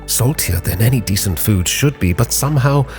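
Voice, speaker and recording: A man narrating a sea captain's log in a measured, literary, theatrical storytelling style. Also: mid-range voice, animated, darkish tone. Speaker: roleplay storyteller, channel host. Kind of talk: dramatic scripted narration. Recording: prominent background music. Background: music.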